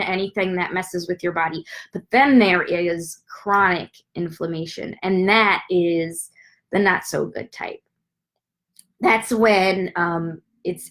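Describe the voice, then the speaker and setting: Congested woman explaining health topics. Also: mid-range voice. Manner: chatty, addresses viewers directly with fillers. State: congested, stuffy nose. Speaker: doctor giving a health talk. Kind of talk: casual educational talk to viewers.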